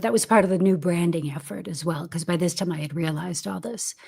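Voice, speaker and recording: A woman's voice: medium pitch, vocal fry, a little mellow. An American woman in her fifties, a career coach for actors, talking candidly and reflectively in a podcast interview, with frequent restarts and fillers. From United States. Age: 50s. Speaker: acting career coach, guest.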